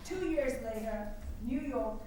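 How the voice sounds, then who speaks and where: A woman's voice: a little high, lively intonation, slow. A woman reading scene headings and stage directions as scripted narration at a staged reading. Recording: distant, very echoey room, noisy, clicks.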